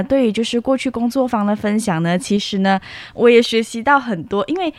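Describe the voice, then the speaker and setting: A young woman with a slightly high voice hosts a campus radio interview. She often rounds off phrases with sentence particles, and the sound is dry and close, as in a studio. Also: no echo. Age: young adult. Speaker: student radio interviewer and host.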